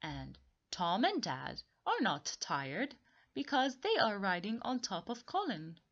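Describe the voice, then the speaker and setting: A woman reading a children's story aloud in an expressive, deliberate way, repeating and explaining things simply for young listeners. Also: medium pitch, lo-fi sound.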